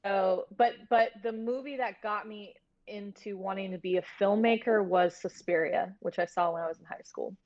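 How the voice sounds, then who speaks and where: A woman's voice: medium pitch, fairly even intonation, normal speed, hardly any pauses, a little mellow. Slightly dull, lo-fi stream audio carries a woman in her thirties with a mid-range voice. She talks casually and candidly as an interview guest, wandering through her stories in an informal way. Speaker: film producer and guest.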